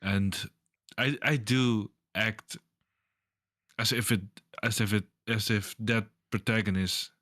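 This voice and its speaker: A man's voice: on the low side, steady tone, slow, a bit bright. A man chatting casually as a podcast co-host, easygoing and teasing, with laughs between his lines. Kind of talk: casual conversation.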